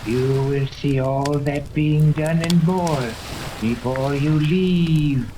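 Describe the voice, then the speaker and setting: Cold snooty scientist in a 30s radio show, real slow and precise, lots of hissy rainy noise. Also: male voice, on the high side, steady tone. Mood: imperious. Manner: precise, imperious, condescending. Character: cold, haughty male scientist guide of a hidden island. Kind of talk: 1930s radio serial acting. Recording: old radio recording with rain-like noise.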